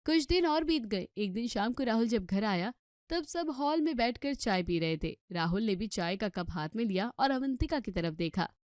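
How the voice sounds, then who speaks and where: A woman's voice: on the high side, animated, moderate pace, a little mellow, no echo, clean studio sound. A woman narrating a scripted family bedtime story as the storyteller.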